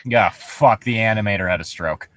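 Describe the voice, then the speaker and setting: A man's voice: fairly low pitch, normal speed, a bit bright, no echo. A man co-hosting casual, joking commentary on stories he reads aloud, in an irreverent, sweary way. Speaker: co-host.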